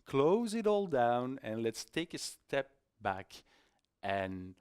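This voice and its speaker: A middle-aged man giving an informal conference presentation in a conversational, self-deprecating, slightly halting way. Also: mid-range voice, very animated, clean tone. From Belgium. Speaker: conference speaker, iOS developer. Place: conference stage in a hall.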